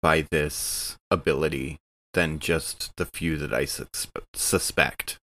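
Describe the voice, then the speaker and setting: A man's voice: extremely low pitch, fairly even intonation, slow, a bit bright, no room sound. A man speaking casually as a player in a tabletop role-playing game. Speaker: player on a game show podcast.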